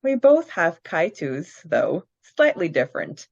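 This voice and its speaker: A woman's voice: fairly low pitch, very animated, darkish tone, no echo. A woman co-hosting a casual fan podcast, reading out questions and answers and chatting. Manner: reads questions aloud, quick bursts, laughs often. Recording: dry, dull lo-fi sound.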